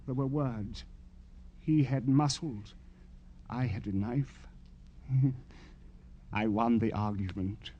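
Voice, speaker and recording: An actor playing a gloating, mannered killer with florid, mock-polite speech in a 1950s radio crime drama, on an old broadcast recording. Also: male voice, mid-range voice, takes it slowly, long pauses, mellow, muffled recording, a little hiss or hum, close to an everyday voice. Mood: sneering. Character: gloating, mannered male killer with sadistic, unstable temper.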